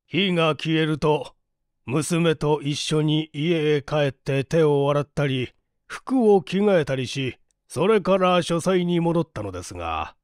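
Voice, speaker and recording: Slow, measured man reading a mystery. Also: on the high side, expressive, clean tone, no echo, clean studio sound. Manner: measured, polite, formal delivery. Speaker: audiobook narrator, stage actor. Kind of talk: dramatized literary reading, mystery novel.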